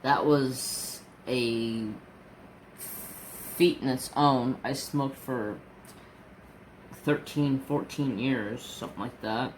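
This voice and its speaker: A man's voice: mid-range voice, fairly even intonation, slow pace, long pauses, a little hiss or hum, low-quality recording. A man in his forties chatting casually in a rambling, storytelling way while crafting. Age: 40s. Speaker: crafting vlogger.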